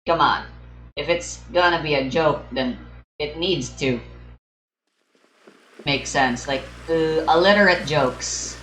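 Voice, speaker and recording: A man's voice: on the high side, slow pace, pauses often, pushed and bright, a little hiss or hum. A young man giving rambling, casual game commentary, musing aloud and often correcting himself as he goes. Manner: musing, hesitant, self-correcting. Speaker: gamer recording a playthrough. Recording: roomy sound over game music. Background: game music.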